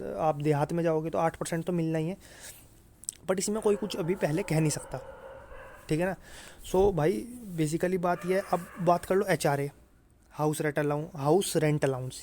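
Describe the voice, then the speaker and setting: A man's voice: on the high side, a little mellow. A man with a North Indian accent giving an informal explainer to students, conversational, repeating points and often checking that listeners follow. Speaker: exam-prep YouTuber explaining job salaries.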